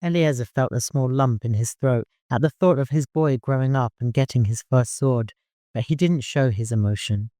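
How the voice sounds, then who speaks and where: A man's voice: medium pitch, normal speed, mellow, no echo, studio quality. A man narrating a literary audiobook from a prepared script.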